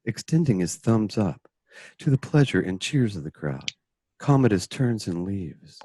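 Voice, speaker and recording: A man with a husky voice narrating a radio drama from a script. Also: fairly low pitch, sing-song, a little slow, warm tone, no room sound.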